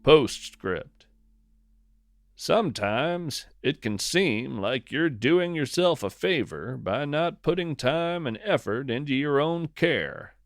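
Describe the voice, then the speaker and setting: Unhurried American man, dry close narration. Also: on the high side, lively intonation, no room sound. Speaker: podcast host and narrator. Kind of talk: scripted whimsical first-person narration.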